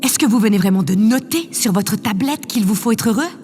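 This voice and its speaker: A woman's voice: mid-range voice, expressive, brisk, close to an everyday voice. A woman playing an imperious, self-absorbed female president in an audio drama, theatrical, commanding and condescending. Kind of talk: scripted audio drama acting. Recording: long hall reverb, faint noise. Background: faint ambient noise.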